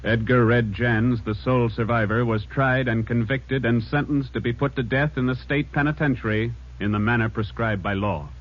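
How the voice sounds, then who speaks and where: Man, old radio announcer, slow measured formal delivery, dull sound, music under. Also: mid-range voice, fairly even intonation.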